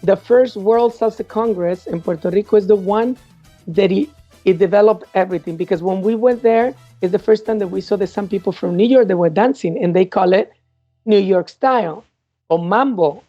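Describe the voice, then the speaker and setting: Mellow-voiced woman telling dance stories. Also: mid-range voice, normal speed, no room sound. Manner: animated, acts out past dialogue and counts. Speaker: interview guest, salsa dancer. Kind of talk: casual storytelling in an interview. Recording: dull lo-fi sound with faint music.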